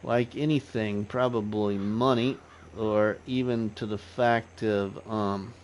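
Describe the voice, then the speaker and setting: A man hosting a casual gaming livestream, chatting to viewers in a relaxed, rambling way with fillers. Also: fairly low pitch, slow, slight background noise. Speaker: livestream host.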